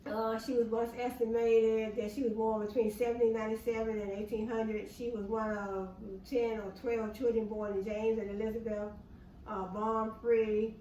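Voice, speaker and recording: A woman talking through history notes in a halting, fill-in-the-gaps way, rereading lines and then putting them in her own words. Her voice sits a little high but sounds mellow and soft-edged. She is set back from the mic in an echoey room with a faint hum behind her. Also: level tone, recorded from a distance. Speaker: host reading history notes. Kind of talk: casual reading and explaining aloud.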